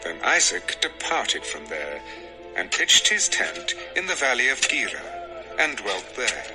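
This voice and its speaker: A man narrating a dramatized scripture recording in a measured, expressive storytelling manner. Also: mid-range voice, low-quality recording. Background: music.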